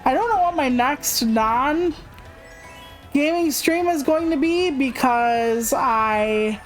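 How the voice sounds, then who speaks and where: A woman's voice: high-pitched, takes it slowly, faint music behind. A woman livestreamer chatting casually in a candid, rambling, self-deprecating way, swearing freely. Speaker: video game livestreamer.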